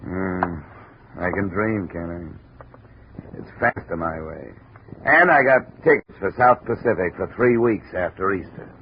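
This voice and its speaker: Deep-voiced hard-boiled radio detective. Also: male voice, unhurried. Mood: dry, wry. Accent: American. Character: wry, hard-boiled male insurance investigator narrating his case. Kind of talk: 1950s radio detective acting. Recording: dull, hissy old radio recording.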